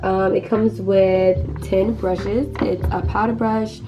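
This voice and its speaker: A hoarse teenage girl talks through her makeup routine in a loose, rambling way, stopping and starting her sentences. Her pitch sits in the middle range. Clear background music plays under her voice. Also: flat delivery, slow, dull audio. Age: teens. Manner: chatty, rambling, lots of fillers and restarts. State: hoarse, lost voice. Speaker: beauty vlogger. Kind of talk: casual makeup tutorial chat.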